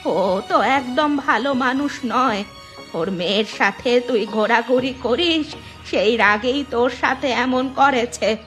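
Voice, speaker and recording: Woman as a slow-talking, fretful old village grandmother. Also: on the high side, fairly bright tone, soft background music. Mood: alarmed, concerned. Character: kindly old village grandmother gathering leaves. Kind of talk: cartoon voice acting.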